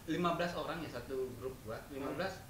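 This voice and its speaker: A man in his twenties with a high-pitched voice, chatting casually as a podcast co-host. He speaks in a relaxed, teasing way. The mic is distant in a roomy space with a clear rumble behind him. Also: fast. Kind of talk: casual chat.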